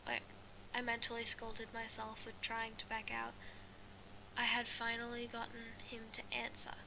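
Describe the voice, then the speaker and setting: High-voiced woman reading aloud, noisy recording. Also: monotone. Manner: halting, stumbling and rereading phrases. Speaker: amateur fan fiction reader. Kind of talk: reading a first-person story aloud.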